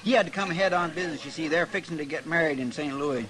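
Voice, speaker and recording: An actor playing a folksy, guileless, gossipy marshal's helper with a rural American drawl in a 1950s radio drama. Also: male voice, on the high side, quick pace, lightly acted, low-quality recording. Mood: eager, chatty. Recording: vintage radio broadcast, crowd behind.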